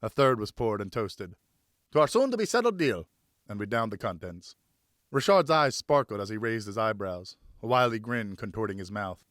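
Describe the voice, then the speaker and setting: A man's voice: lowish voice, moderate pace, a bit bright, dry recording, studio quality. A man narrating a first-person story as an audiobook reader, in a scripted, dramatic storytelling style.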